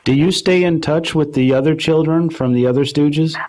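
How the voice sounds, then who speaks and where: A man's voice: on the high side, moderate pace, a little mellow. Man asking short interview questions. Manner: brief, curious questions. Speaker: radio club host.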